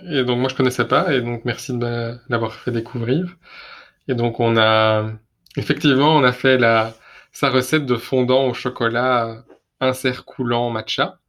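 A man's voice: medium pitch, fairly even intonation, moderate pace, a bit bright. A man co-hosting a podcast, talking casually with many fillers and repeated words. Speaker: podcast co-host. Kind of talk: casual chat.

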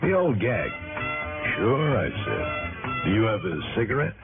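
A man's voice: fairly low pitch, huge pitch swings, measured pace, vocal fry, exaggerated voice. An actor playing a cool, laconic smoker telling his tale in clipped, wry phrases for a 1960s radio cigarette commercial. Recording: tinny old radio ad, loud guitar.

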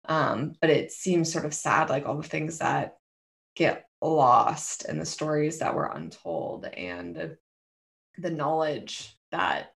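A woman co-hosting a podcast, speaking reflectively with many fillers and pauses. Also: low-pitched, animated, vocal fry. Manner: reflective, warm, hesitant, frequent fillers. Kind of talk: casual conversational chat.

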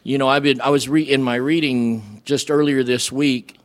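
An American man from Illinois speaking as a radio guest, telling personal stories in a casual, halting, folksy way. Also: mid-range voice, fairly even intonation, dry recording. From Illinois, United States.

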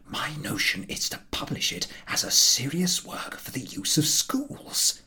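A man's voice: on the high side, wildly swooping pitch, unhurried, bright, forceful tone, pitched above the performer's own voice, exaggerated voice. A man voicing a shrewd, scheming London publishing manager in a storybook, with formal, persuasive, confiding speech. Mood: conspiratorial scheming.

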